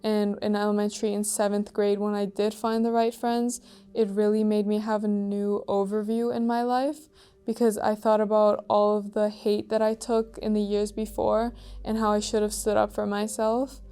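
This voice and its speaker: A teenage girl from Iran answering interview questions in an earnest, soft-spoken way, with frequent "like" and "um" fillers. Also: mid-range voice, little pitch movement, moderate pace, clean tone. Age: teens.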